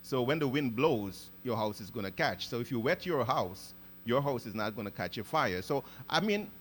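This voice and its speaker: A man from Guyana hosting an interview, speaking thoughtfully and often pausing to search for words. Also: medium pitch, expressive, a little hiss or hum. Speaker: podcast host. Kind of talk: casual interview conversation.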